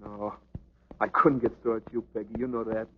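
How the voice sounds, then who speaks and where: A man's voice: fairly low pitch, level tone, a little fast, slight background noise, natural voice. A man playing a lovesick young boxer. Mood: tender. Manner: plain street slang, hushed and sincere. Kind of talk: 1940s film acting. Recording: dull old film soundtrack with music.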